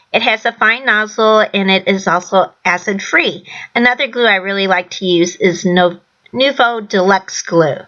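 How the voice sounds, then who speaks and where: A woman with a bright, forward voice, a little hoarse from a sore throat, talks through a scrapbooking tutorial. She is chatty, explains each step plainly and restarts a phrase now and then. The recording is dull and lo-fi. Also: mid-range voice. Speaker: crafting channel host. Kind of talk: casual scrapbooking tutorial voice-over.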